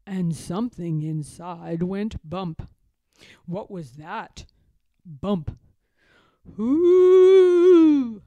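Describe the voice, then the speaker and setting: A woman storyteller reading a children's picture book aloud in a dramatic, expressive way with suspenseful pauses. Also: on the low side, no echo, lo-fi sound.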